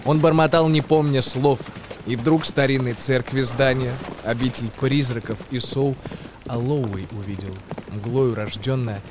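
A man, an actor, narrating a tale in verse for a radio play in an expressive, declamatory way. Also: medium pitch, unhurried, noisy background.

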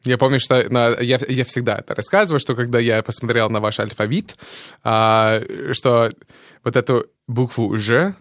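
A man's voice: mid-range voice, normal speed, no room sound, clean studio sound. Man speaking Russian. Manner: lively, searching for words with fillers. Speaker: guest actor. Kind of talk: casual chat.

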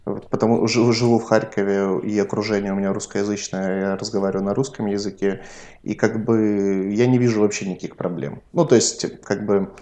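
A man's voice: lowish voice, steady tone, a little fast, warm tone. A man from Ukraine co-hosting a podcast, explaining things in a reflective, storytelling way during casual chat. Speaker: podcast co-host.

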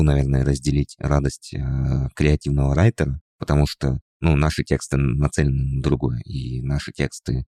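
A man's voice: very deep voice, dark tone, no room sound, clean studio sound. A man co-hosting a casual podcast chat about his work as a developer, talking with wry jokes and mock-exasperated asides. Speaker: podcast co-host and frontend developer.

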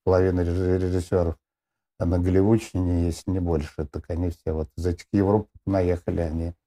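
A middle-aged man with a low, dark, mellow voice. He talks casually as a guest, rambling through fillers, half-words and restarts as he works out his thoughts. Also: little pitch movement, fairly quick. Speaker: film director guest. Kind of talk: casual discussion.

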